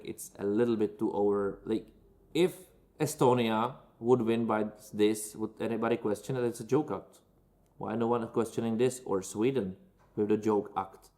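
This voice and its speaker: A man with a Czech accent and a somewhat low voice, answering viewer questions in a casual, opinionated way. His English is loose and non-native, with frequent restarts as he works through his points. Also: darkish tone.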